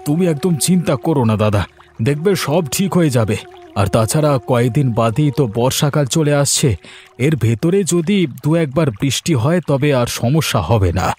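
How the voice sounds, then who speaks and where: Weary, higher-voiced poor farmer. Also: male voice, animated, moderate pace, darkish tone, husky, soft background music. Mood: reassuring. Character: poor, weary but hopeful village rice farmer. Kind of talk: children's cartoon dubbing.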